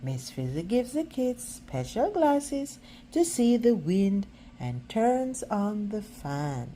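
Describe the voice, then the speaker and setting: A woman reading a children's picture book aloud as a storyteller, expressive and now and then halting over words. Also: mid-range voice, a little hiss or hum.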